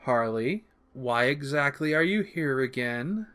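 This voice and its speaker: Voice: mid-range voice, lively intonation, a little slow, a bit bright. A narrator voicing an awkward, exasperated male villain doctor who scolds in formal, clipped phrases in a story reading. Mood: suspicious.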